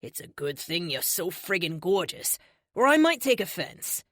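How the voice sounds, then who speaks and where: A woman's voice: fairly low pitch, sing-song, moderate pace, fairly bright tone, dry recording, studio quality. A woman voicing a brash, good-humored male speedster with a Boston accent, casual and joking, in an audiobook. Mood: sheepish, playful.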